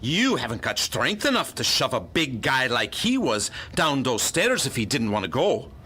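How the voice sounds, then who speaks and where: A woman's voice: rumbling low, very animated, moderate pace, a bit bright, pitched below the performer's own voice, exaggerated voice. A woman voicing a tough, scowling, blustering male homicide detective with a bullying manner in a pulp detective drama. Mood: sneering, accusing. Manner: gruff, bullying, accusatory.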